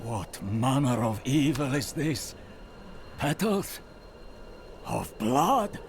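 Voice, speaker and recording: A man playing an alarmed, intense character in a dark fantasy video game cutscene, with music behind his voice. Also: mid-range voice, very animated, very slow, a little hiss or hum, full character voice. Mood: uneasy dread.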